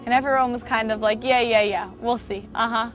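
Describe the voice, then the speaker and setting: A woman's voice: fairly high pitch, fairly even intonation, a little fast. Earnest 19-year-old New woman. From New York, USA. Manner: earnest, plain-spoken, with fillers. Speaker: soldier, sniper instructor. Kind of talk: personal interview testimony. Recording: music playing underneath.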